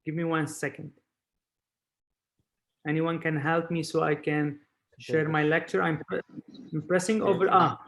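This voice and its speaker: English-speaking man from Spain, explaining haltingly. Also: fairly high pitch, flat delivery, gravelly, a bit bright. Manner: halting non-native English, repeating words. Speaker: surgeon lecturer, webinar speaker. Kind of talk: informal expert talk on a video webinar. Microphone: video call.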